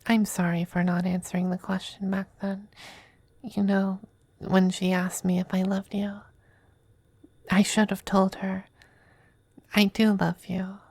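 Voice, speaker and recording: A woman playing a tender, possessive male demon femboy in an intimate ASMR roleplay, soft and breathy, often whispering, with a hesitant, coaxing manner. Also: mid-range voice, level tone, no echo. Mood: vulnerable, possessive. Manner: slow, hesitant, gently coaxing.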